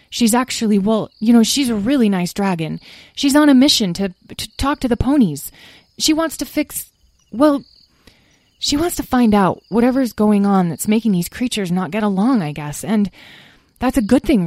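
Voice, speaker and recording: A woman's voice: medium pitch, a little mellow, no echo, pitched above the performer's own voice, natural voice. A woman voicing an earnest, kind-hearted young stable boy in a storybook, stammering and tearful. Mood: pleading. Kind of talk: storybook character voice.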